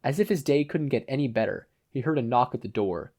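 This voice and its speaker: A man's voice: medium pitch, expressive, brisk, a little mellow. A man narrating a scripted story as an audiobook-style reader. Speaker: podfic narrator.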